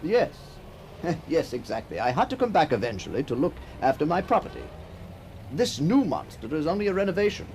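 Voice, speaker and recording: A middle-aged gentleman back from long years abroad in a radio drama. His gravelly voice swings up and down as he muses with wry hesitation. A faint noise sits behind him. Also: on the high side, sing-song, brisk. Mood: amused. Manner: musing, hesitant, wry, with small chuckles. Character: middle-aged wistful man returned from decades abroad.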